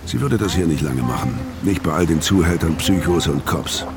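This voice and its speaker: Very deep, weary noir killer. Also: male voice, lively intonation, moderate pace, over music. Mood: bleak, brooding. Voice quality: very low, gravelly. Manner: terse, brooding. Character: weary, hard-drinking middle-aged male killer on the run, bleak and terse. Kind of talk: noir first-person radio drama narration and dialogue.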